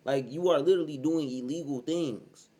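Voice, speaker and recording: A man's voice: fairly high pitch, moderate pace, warm tone. A man in his early thirties giving blunt, profane reaction commentary as host, keeps saying "bro". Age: 30s.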